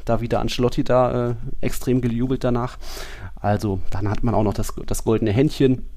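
A man's voice: lowish voice, dark tone. A man co-hosting a football podcast, giving casual analysis in a loose, rambling, colloquial way.

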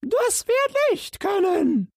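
Tiny cackling red goblins. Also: male voice, big pitch swings, slow pace, gravelly, dry recording, studio quality, exaggerated voice. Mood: boastful cackle. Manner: cackling, boastful, high squeal. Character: three tiny mischievous red goblin men, squeaky. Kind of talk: storybook reading.

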